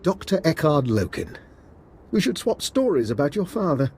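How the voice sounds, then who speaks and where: A courteous male doctor in a video game. His speech is halting and apologetic in manner, with very lively swings of pitch and some noise behind it. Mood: apologetic, polite. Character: polite, hesitant, slippery male scientist doctor.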